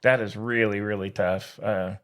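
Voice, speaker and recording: A man's voice: lowish voice, fairly even intonation, moderate pace. An American man co-hosting a sports podcast, chatting casually in a slangy, exclamatory, teasing way.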